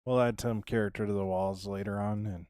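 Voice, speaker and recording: Hoarse low-voiced man streaming casually. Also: fairly even intonation, fairly quick, darkish tone, no echo. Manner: laid-back, rambling with trailing "so" and "yeah". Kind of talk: casual livestream chat while building in a game.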